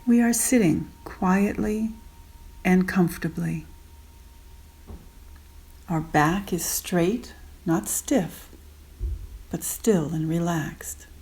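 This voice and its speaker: A woman guiding a meditation gently and unhurriedly in short spaced phrases, with soft, breathy endings. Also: lowish voice, drawn-out words, long pauses. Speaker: meditation guide. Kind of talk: guided meditation.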